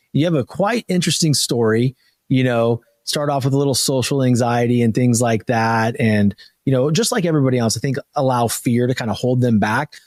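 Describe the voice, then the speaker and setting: A man's voice: medium pitch, darkish tone, dry recording, clean studio sound. A man hosting a podcast, chatting casually and introducing his guest. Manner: laid-back, conversational, fillers. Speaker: podcast host. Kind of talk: casual interview chat.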